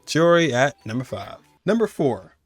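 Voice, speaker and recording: A man's voice: mid-range voice, big pitch swings, moderate pace, no echo, soft background music. A man giving casual, slangy, conversational commentary as host of a video game countdown. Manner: slangy, conversational, chuckling asides.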